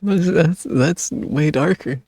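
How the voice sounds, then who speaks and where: A woman's voice: deep voice, takes it slowly, dark tone. A woman streamer reacting casually to a game she is playing.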